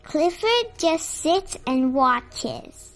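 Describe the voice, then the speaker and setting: Storybook reader for kids, high voice, unhurried expressive delivery, music underneath. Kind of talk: read-aloud storytelling for children.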